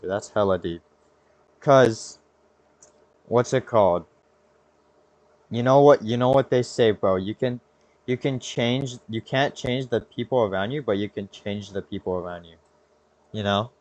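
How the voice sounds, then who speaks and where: A young American man talks in a dry-sounding space with an average-pitched male voice. His delivery is loose and conversational, full of slang. He rambles and interrupts himself as he works through a thought, like a podcast host chatting with friends. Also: no echo. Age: young adult. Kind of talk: casual chat.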